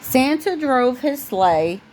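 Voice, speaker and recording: A woman who is a parent reading a storybook aloud in an expressive way, with casual asides. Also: sits high, slow pace, a little hiss or hum.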